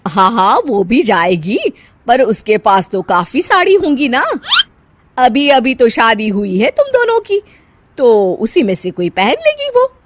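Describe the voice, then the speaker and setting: A woman's voice: a little high, sing-song, a little slow, slight background noise. A woman voicing a domineering middle-aged mother-in-law in a family story, with a dismissive manner. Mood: coaxing, dismissive. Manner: scolding, dismissive.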